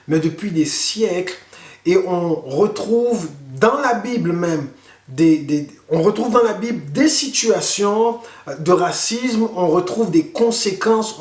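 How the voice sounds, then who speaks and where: A man, a pastor, giving an earnest online sermon and slowing down to stress key words. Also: high-pitched. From Canada. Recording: echoey room.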